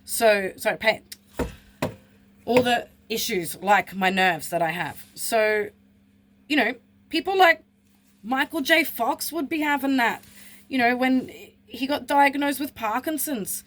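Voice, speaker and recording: A woman's voice: on the high side, unhurried, fairly bright tone. A woman, talking bluntly and with frustration in a personal vlog. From Australia. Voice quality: husky. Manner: blunt, frustrated, swears freely. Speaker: vlogger.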